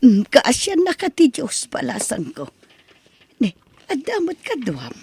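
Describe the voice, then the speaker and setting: Woman playing a caring older ilocano mom in a radio drama, husky, very up and down. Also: high-pitched, huge pitch swings, unhurried, a little mellow, heavily acted. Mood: affectionate. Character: older mother, affectionate and concerned. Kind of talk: Ilocano audio drama acting.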